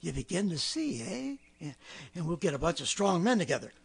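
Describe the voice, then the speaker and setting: An actor playing a crazed, power-hungry male survivor in theatrical 1930s radio drama style, raspy and given to ranting. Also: a little high, very animated, fairly quick, full character voice. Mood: eager scheming. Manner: ranting, theatrical, swinging from muttering to shouting.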